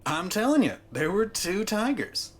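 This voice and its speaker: An actor playing an animated storyteller in an audio drama, relaying a cousin's tall tale and acting out the shouts. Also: mid-range voice, moderate pace, breathy edge. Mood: insistent. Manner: animated, acts out quoted shouts. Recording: noticeable background noise and ambience.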